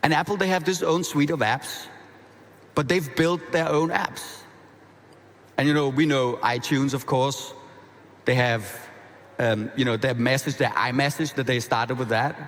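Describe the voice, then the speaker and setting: A man with a Danish accent giving a conversational, energetic conference keynote, often restarting his phrases. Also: medium pitch, measured pace. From half Danish. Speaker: keynote speaker. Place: large conference hall. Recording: reverberant hall.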